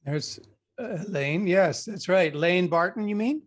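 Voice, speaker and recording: A man hosting an interview over a video call, friendly and organized as he guides things along. His voice sits a little high for a man. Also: unhurried. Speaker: interview host.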